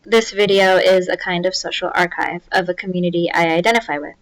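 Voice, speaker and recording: A young woman born in India, narrating her documentary project as its interviewer with a calm delivery. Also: fairly low pitch, brisk, poor audio. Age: young adult. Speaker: interviewer and filmmaker.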